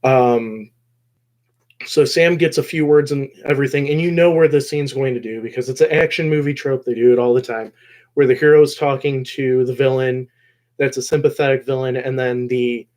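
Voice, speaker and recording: Man giving a halting, casual episode recap. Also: mid-range voice, a little mellow. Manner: rambling, halting, pausing mid-phrase. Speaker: host of a show discussion video.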